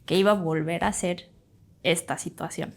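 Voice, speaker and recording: A woman in her thirties, a doctor from Bolivia, telling her migration story in a chatty, animated way as a podcast guest. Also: lowish voice, moderate pace, creaky. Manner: chatty, animated, fond of "pues" and "literal". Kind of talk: casual interview storytelling.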